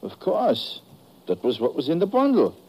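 An actor playing an obliging, naive truck driver in a 1950s radio drama, hesitant and mild, on a noisy old recording. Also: male voice, on the high side, wildly swooping pitch, normal speed, warm tone, slightly rough, heavily acted. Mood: innocent, apologetic.

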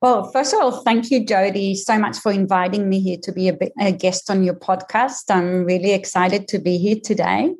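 A woman in her fifties with a mixed Israeli, Australian and American accent, explaining things in a measured way with frequent ums as a podcast guest. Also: medium pitch, steady tone, a little mellow. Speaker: career coach guest. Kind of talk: podcast interview.

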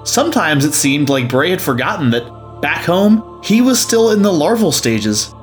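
A man's voice: fairly high pitch, big pitch swings, moderate pace, faint music behind. A man narrating a scripted story.

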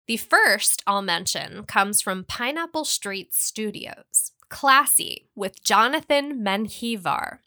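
Bright-voiced American woman, close dry sound. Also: on the high side, no echo. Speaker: podcast host. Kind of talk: scripted podcast recommendations.

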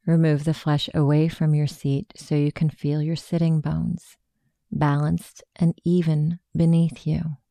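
Female meditation guide, low-pitched and unhurried, with a very soft dark tone and gravelly creak. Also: flat delivery, no room sound. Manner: slow, hushed, soothing instruction.

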